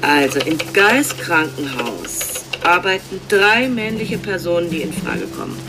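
An actress playing an obliging hospital administrator in a radio drama, formal, a little hesitant but cooperative. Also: female voice, on the low side, very animated, a little slow, noisy background. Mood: helpful. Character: obliging female hospital administrator looking up records.

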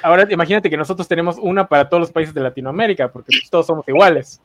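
A man's voice: on the high side, dry recording. An opinionated man, ranting sardonically on a podcast panel. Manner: opinionated, sardonic, quick rants. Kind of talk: casual panel chat.

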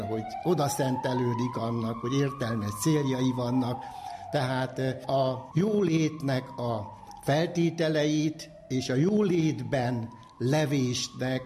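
Mellow older man explaining. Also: medium pitch, slight background noise. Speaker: psychology professor, interviewed expert. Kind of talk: explanatory expert talk.

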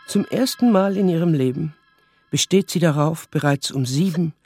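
A woman narrator telling a story calmly in a scripted radio play. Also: low-pitched, moderate pace, breathy edge, dry recording, faint music behind. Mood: matter-of-fact.

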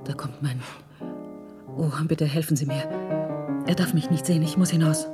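Actress as mysterious deep-voiced lady. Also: steady tone, normal speed, natural voice. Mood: urgent, fearful. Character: mysterious, secretive society woman at gambling den. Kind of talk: German radio drama acting. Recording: radio play with loud piano music behind.

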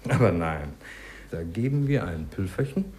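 An actor playing a brisk, self-assured, eccentric doctor in a 1950s radio play, theatrical in his delivery. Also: sits low, lively intonation, darkish tone. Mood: self-assured, instructing.

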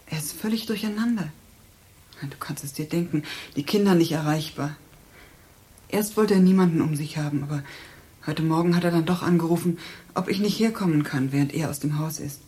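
A woman's voice: lowish voice, dark tone, natural voice. A woman acting a soothing but anxious and guarded sister in a radio crime drama, tactful and explanatory.

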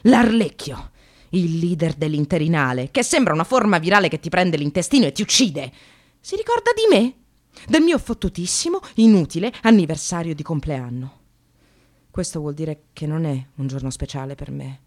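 Radio monologue: sardonic female temp worker, slightly low register, very animated intonation. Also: normal speed. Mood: exasperated, indignant. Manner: sardonic, expressive, swearing, addressing the audience. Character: bitter, wry, precariously employed female receptionist, educated.

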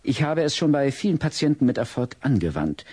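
An actor playing a big, kindly, patient child psychologist in an audio drama, gently probing and explaining. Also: male voice, medium pitch, big pitch swings, brisk, slightly rough, dry recording, studio quality. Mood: earnest, explanatory.

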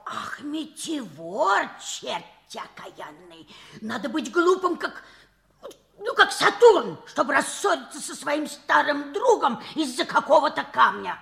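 Creaky, animated housekeeper. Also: female voice, high voice, slow, full character voice. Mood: scolding. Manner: folksy, exclaiming, laughing scorn. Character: outspoken, good-hearted housekeeper, poor servant woman who scolds her master. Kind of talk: Soviet radio play acting. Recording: old 1960s radio drama recording.

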